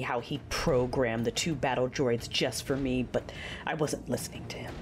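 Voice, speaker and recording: A woman acting a proud, passionate dark lady in an audio drama, narrating in the first person with intense, deliberate delivery. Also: rumbling low. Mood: tense. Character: proud dark Sith lady, commanding, passionate, wounded by betrayal. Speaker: narrator and lead character. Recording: music under the voice. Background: music.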